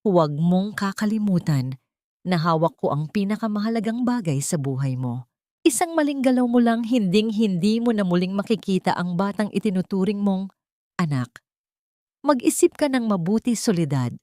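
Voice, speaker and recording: A woman's voice: medium pitch, animated, normal speed, a little mellow, no room sound, clean studio sound, voice pushed up, natural voice. A woman voicing a manipulative rich younger woman in a storybook drama, coaxing and theatrical with hidden cruelty. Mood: cold, menacing.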